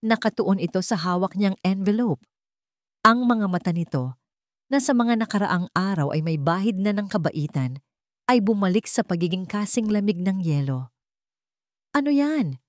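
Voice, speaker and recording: Low-voiced woman narrating dramatic fiction. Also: a little mellow, no room sound, studio quality. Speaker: storyteller. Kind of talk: dramatic scripted story narration.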